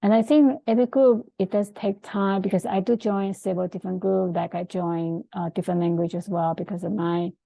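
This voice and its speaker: A middle-aged woman whose first language is Chinese, giving an informal presentation in a halting, hesitant way with many pauses. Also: lowish voice, steady tone, creaky, mellow, no room sound, low-quality recording. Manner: halting, hesitant, frequent pauses mid-sentence. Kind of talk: informal presentation with screen share.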